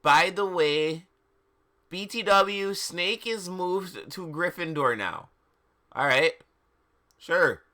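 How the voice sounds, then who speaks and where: Male livestream reader, lively swooping pitch, slow deliberate delivery, theatrical and incredulous. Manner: theatrical, incredulous, exaggerated emphasis. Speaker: livestream host reading fan fiction aloud. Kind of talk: mocking dramatic reading with asides.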